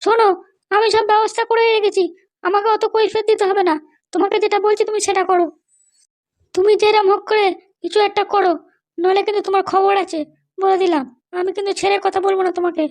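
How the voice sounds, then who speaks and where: A woman's voice: extremely high pitch, level tone, fast, pauses often. A woman voicing a doting but bossy mother in a cartoon, fretful, insistent and nagging. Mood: angry, threatening. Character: doting, anxious, bossy mother of a grown son. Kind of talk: cartoon dialogue.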